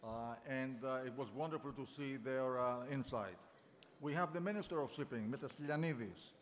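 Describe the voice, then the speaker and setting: A man stands at a podium microphone in a large banquet hall and welcomes the room in an unscripted, halting way. His voice sits at an ordinary middle pitch. A long reverb trails every phrase. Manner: halting, unscripted, thanking guests. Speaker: event host and organizer. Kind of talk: informal welcome speech.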